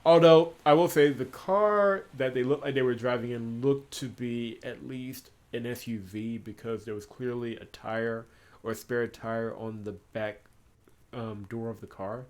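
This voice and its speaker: A man's voice: medium pitch, fairly even intonation, a little slow. A man giving casual commentary as he plays through a game as host. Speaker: gaming video host. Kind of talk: casual game commentary and read-aloud of visual novel dialogue.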